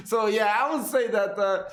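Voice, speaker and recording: A man's voice: high voice, steady tone. Chatty man, echoey room. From Italy. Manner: chatty, jokey, quick to laugh and swear. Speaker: reaction video host. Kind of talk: casual reaction commentary.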